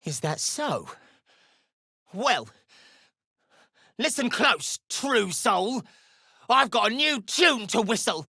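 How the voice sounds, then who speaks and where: A woman playing a foul-mouthed, defiant gnome in a video game, with a brash, gruff and sardonic delivery. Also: fairly high pitch, very animated, takes it slowly, long pauses, bright, forceful tone, no echo, clean studio sound, exaggerated voice.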